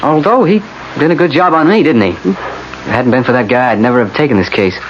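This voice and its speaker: An actor playing a weary, sardonic defense lawyer in a radio drama. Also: male voice, medium pitch, animated, a little fast, close to an everyday voice. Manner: sardonic, glum. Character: weary, wry male defense lawyer expecting defeat. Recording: muffled, noisy old radio broadcast.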